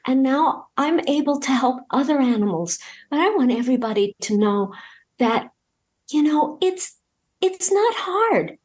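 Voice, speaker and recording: A woman's voice: high voice, animated, measured pace, a little mellow, pitched above the performer's own voice. A woman voicing a friendly, earnest female rescue pit bull who speaks in a sweet, pleading, kindly way.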